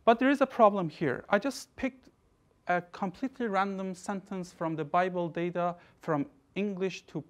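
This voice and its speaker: A man's voice: high voice, moderate pace. A young researcher lectures from the front of an indoor room, picked up by a distant camera. His talk is loose, full of restarts and "you know" fillers. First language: Persian. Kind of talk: academic lecture.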